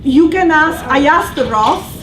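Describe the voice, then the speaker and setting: A woman's voice: sits high, animated, slow pace, crowd noise. Italian-accented woman presenting in an echoey room. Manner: explains patiently, with frequent restarts. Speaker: language teacher presenting a workshop. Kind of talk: conversational presentation. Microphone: distant room mic. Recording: echoey room from far off with background noise.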